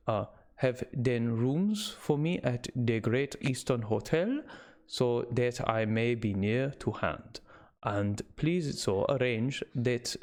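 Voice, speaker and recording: A man voicing an elderly, earnest Dutch doctor with an exaggerated German-like accent, halting with many "uh" fillers, in an amateur audiobook reading. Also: medium pitch, a little mellow. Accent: put-on exaggerated German-like.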